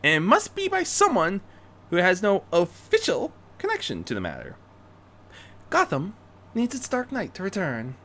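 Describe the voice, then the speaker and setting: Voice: medium pitch, wildly swooping pitch, moderate pace, fairly bright tone, pitched above the performer's own voice. A performer voicing a young female unicorn vigilante, refined and determined, speaking in an earnest, theatrical, articulate way for a fan fiction reading.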